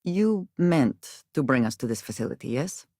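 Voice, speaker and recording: An actress playing a guarded, earnest woman space adventurer in a video game, speaking in measured, formal phrases with few contractions and a dry wit. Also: rumbling low, expressive, warm tone, no room sound. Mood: composed, serious. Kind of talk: video game character acting.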